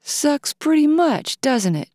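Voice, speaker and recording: A woman's voice: high voice, very animated, takes it slowly, darkish tone, no room sound, studio quality, pitched above the performer's own voice, exaggerated voice. A woman voicing a defiant female singer who addresses her crowd in a sardonic, provocative way.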